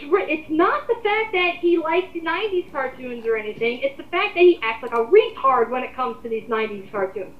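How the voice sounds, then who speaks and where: A woman on a casual group rant, talking in an exasperated, emphatic way with plenty of swearing. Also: sits high. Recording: muffled, echoey amateur voice recording.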